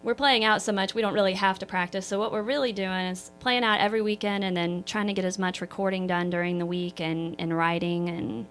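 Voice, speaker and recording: A woman singer-songwriter with a slightly hoarse voice, talking modestly in a radio interview. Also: medium pitch, fairly even intonation, fairly quick, few pauses, a bit bright, slight background noise. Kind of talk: casual radio interview chat.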